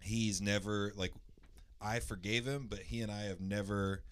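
Loud, low-voiced man telling a story. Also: steady tone, unhurried. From Indianapolis area, USA. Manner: rambling, many fillers and restarts, clears throat. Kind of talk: casual storytelling.

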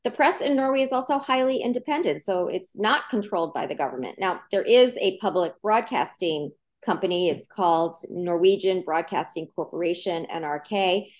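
A woman's voice: lowish voice, expressive, moderate pace, few pauses. Woman, journalism professor as interview guest; earnest, explanatory, emphatic. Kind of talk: interview answers.

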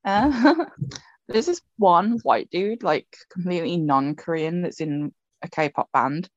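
A woman's voice: lowish voice, lively intonation, slow pace, no room sound, lo-fi sound. A woman co-hosting a podcast in casual chat, rambling and chatty, laughing often. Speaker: podcast co-host.

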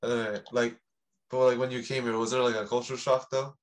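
A young man hosting a podcast, chatting casually in an excitable, slangy way with frequent laughter. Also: medium pitch, moderate pace. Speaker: podcast host. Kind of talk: casual chat.

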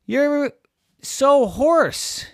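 A man's voice: extremely high pitch, animated, drawn-out words, a bit bright, no echo, studio quality, voice pushed up, exaggerated voice. A man voicing a bossy, know-it-all big sister who talks in an emphatic, teasing way.

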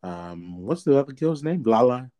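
Mellow-voiced man rambling with fillers. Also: mid-range voice, big pitch swings, moderate pace. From Australia. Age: 30s. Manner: rambling, many fillers and restarts. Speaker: guest. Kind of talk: casual interview.

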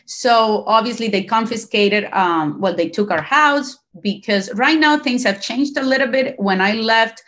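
A woman's voice: on the high side, measured pace, hardly any pauses, fairly bright tone. Earnest woman with a Latin American Spanish accent. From Cuba, grew up partly in Costa Rica. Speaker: interview guest. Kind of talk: personal account in a conversational interview.

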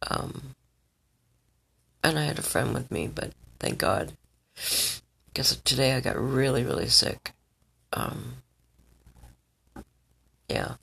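Congested rambling vlogger with a scratchy, husky voice and lively pitch. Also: slow pace, long pauses. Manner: rambling, checks in with the listener again and again. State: stuffed up, congested. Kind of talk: rambling personal vlog.

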